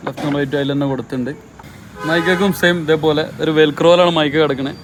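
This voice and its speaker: A man's voice: fairly high pitch, fairly even intonation, rapid-fire, faint music behind. A man, a bike mechanic, walking step by step through fitting a helmet headset outdoors. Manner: methodical, walks through each step. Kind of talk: step-by-step installation guide.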